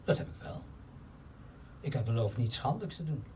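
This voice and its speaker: A man's voice: medium pitch, expressive, moderate pace, darkish tone, a little hiss or hum, close to an everyday voice. A man acting in a radio play as a cynical, self-justifying friend, glib and emphatic.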